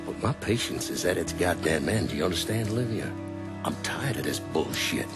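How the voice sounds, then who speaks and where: An actor playing a volatile, profane mob boss in a video game, blunt and exasperated. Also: male voice, sits low, expressive, brisk, loud music behind the voice. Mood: weary menace.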